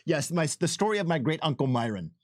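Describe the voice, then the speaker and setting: Animated man chatting casually. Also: fairly high pitch, fast, dry recording, clean studio sound. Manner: animated, emphatic storyteller. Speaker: game developer giving commentary. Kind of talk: casual unscripted livestream chat.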